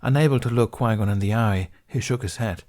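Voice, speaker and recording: A man with a fairly low voice reads fiction aloud, steady and measured. His narration flows evenly between the lines of dialogue. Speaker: audiobook narrator. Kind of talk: reading fan fiction aloud.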